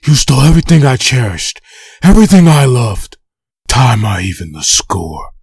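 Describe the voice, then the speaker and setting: A man playing a vengeful soldier back from the dead in a comic dub, bitter, grim and taunting in a low, husky voice. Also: very animated, normal speed, dry recording, clean studio sound, exaggerated voice. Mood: furious, accusing. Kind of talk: comic dub dramatic acting.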